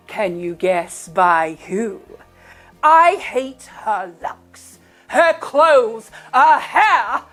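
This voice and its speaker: A wicked pantomime queen, vain and scheming. Her voice is very high and swoops around as she gloats with slow, haughty relish. She talks down to her audience in grand theatrical style. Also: a bit bright, exaggerated voice. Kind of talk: pantomime villain monologue to audience.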